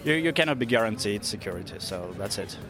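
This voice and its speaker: A man from Russia with a Russian accent, giving measured, explanatory interview answers in a non-native language, often pausing with "let's say". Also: lowish voice, animated, a bit bright. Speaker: interviewed security researcher. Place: conference event venue.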